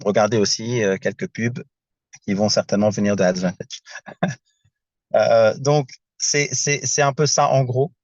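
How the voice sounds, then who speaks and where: A man speaking from inside a car on a video call, presenting his project in a casual, halting way as he searches for words in a language that is not his first. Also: lowish voice, steady tone, darkish tone, dry recording, lo-fi sound.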